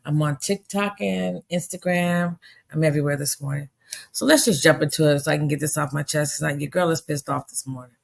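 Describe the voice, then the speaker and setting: A hoarse woman hosting her own podcast, talking in an animated, indignant and emphatic way. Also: low-pitched. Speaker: podcast host. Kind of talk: casual monologue podcast.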